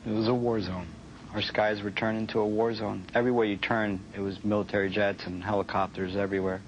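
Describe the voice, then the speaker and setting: A man's voice: lowish voice, little pitch movement, a little fast. A man giving a vivid recollection in an interview. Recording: dull lo-fi audio, faint noise.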